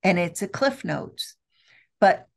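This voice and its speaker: Middle-aged woman, low gravelly voice, slow and deliberate, video call from an office. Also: expressive. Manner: earnest, slow and deliberate with many restarts. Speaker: trade show CEO, guest. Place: office with windows. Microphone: video call webcam.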